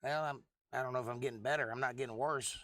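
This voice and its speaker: A man with a hoarse, froggy voice hosting a casual livestream, rambling and joking at his own expense as he replies to viewers. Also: medium pitch, fairly even intonation, fast, fairly bright tone, no echo. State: lost voice for months, throat clearing. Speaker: livestream host.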